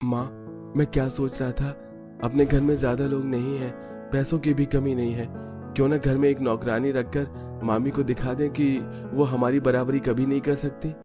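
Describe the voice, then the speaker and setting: A man's voice: medium pitch, little pitch movement, moderate pace, over music, natural voice. A man voicing a reasonable young grown son in a family cartoon, polite and pleading as he keeps the peace. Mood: persuasive. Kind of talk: Hindi cartoon story dialogue.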